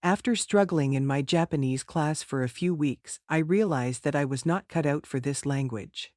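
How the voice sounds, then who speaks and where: A man's voice: a little high, darkish tone, no room sound, studio quality. A man answering mock speaking-test questions in a formal, rehearsed way. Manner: formal, rehearsed, measured delivery. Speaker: test candidate.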